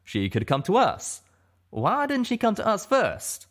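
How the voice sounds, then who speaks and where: A man's voice: sits high, huge pitch swings, measured pace, voice pushed up, heavily acted. A man voicing a brash, agitated male pegasus pony with a folksy, exclamatory manner in an audiobook. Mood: distressed.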